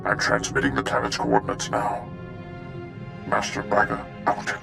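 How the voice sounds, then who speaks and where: A grave old Jedi master, measured and earnest. His voice is extremely deep and heavily gravelly, yet it moves lively in pitch. Game music sits under him. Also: exaggerated voice. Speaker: Jedi master on a holo call. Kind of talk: video game voice acting.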